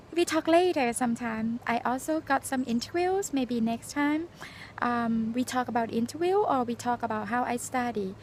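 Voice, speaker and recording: Forties Thai woman with a Thai accent, English her second language; high, earnest, halting vlog delivery. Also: a little mellow, slight background noise. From Thailand. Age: 40s. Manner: earnest, halting, frequent fillers and restarts. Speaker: nurse sharing exam experience. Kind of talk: casual personal vlog, storytelling and advice.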